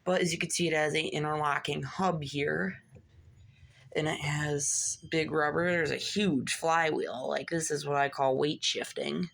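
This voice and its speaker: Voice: medium pitch, steady tone, measured pace, creaky, fairly bright tone, a little hiss or hum. A hobbyist narrating a hands-on repair casually and informally, muttering asides while working. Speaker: hobbyist repairer showing a fix.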